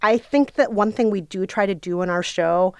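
A woman with a medium-pitched voice, speaking as a podcast co-host in an interview. She chats casually in a frank, earnest way, with a very dry, close sound. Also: moderate pace, darkish tone, smooth, dry recording. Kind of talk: casual chat.

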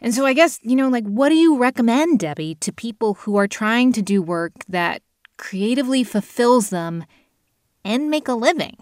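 A woman's voice: on the high side, expressive, moderate pace, darkish tone, no echo. A woman hosting a radio interview in a conversational style, recorded in a studio. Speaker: interviewer, show host.

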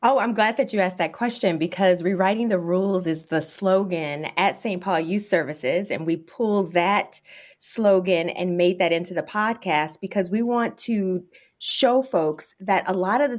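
A woman's voice: mid-range voice, a little mellow. A woman with an American accent, giving answers as an interview guest. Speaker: interview guest, nonprofit executive director.

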